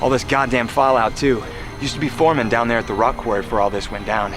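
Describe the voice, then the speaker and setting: An actor playing a rugged post-apocalyptic wanderer who tells boastful tall tales in a folksy, emphatic way, in a film drama. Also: male voice, medium pitch, fairly even intonation, quick pace, music in the background, natural voice. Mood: knowing. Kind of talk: film dialogue, campfire storytelling.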